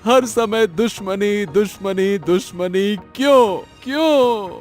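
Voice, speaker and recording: A man acts a desperate village father in a cartoon drama. The voice is high for a man and drawn out slowly, overwrought and full of anguish, with faint music underneath. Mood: frustrated. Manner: pleading, overwrought, repeats words. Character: desperate, grieving village father of a young boy. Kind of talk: melodramatic Hindi cartoon story acting.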